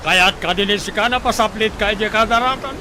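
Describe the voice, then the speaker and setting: An actor playing an angry, domineering, scornful boss in a Philippine radio drama, cursing and interrogating. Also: male voice, sits high, fairly quick, natural voice. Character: angry, domineering male boss hunting his child's assailant. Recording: clearly noisy radio drama audio.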